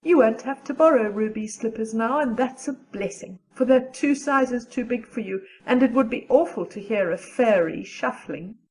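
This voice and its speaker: A woman's voice: a little high, moderate pace, few pauses, a little mellow, lightly acted, low-quality recording. A woman playing a practical, chatty, loyal teenage girl with a matter-of-fact, gossipy manner in an audio drama. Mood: chatty, pleased.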